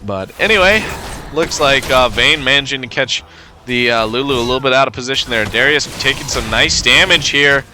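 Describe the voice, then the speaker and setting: A man with a cold, sounding stuffed up, giving relaxed play-by-play commentary over a video game match with frequent fillers. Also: medium pitch, a little fast, a little hiss or hum. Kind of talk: casual gameplay commentary.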